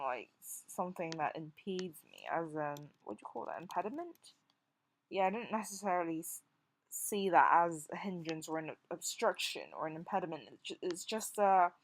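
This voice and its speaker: A woman in her twenties from Singapore, with a Singaporean English accent softened by years in the UK, talking in an impromptu vlog with frequent restarts and stammers while recovering from jaw surgery. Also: fairly low pitch. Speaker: vlogger.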